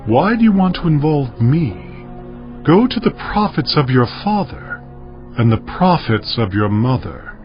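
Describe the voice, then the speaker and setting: An actor playing a fervent, commanding Hebrew prophet in a dramatized scripture reading, solemn and declamatory. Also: male voice, on the high side, sing-song, measured pace. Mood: scornful. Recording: muffled audio over faint music.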